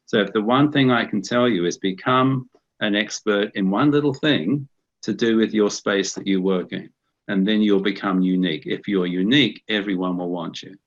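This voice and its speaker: A man's voice: on the low side, normal speed. A middle-aged man with a New Zealand accent giving a conversational webinar talk as guest speaker, often restarting and repeating words. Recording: dull muffled webinar audio.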